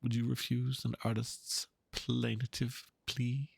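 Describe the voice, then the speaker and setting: A man playing an earnest, pompous painter in a dramatic reading of game dialogue, speaking in a florid, halting, theatrical way. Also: medium pitch, monotone, gravelly, husky, dry recording, heavily acted. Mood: pleading, polite. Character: theatrical, earnest male painter, pompous and fervent about art.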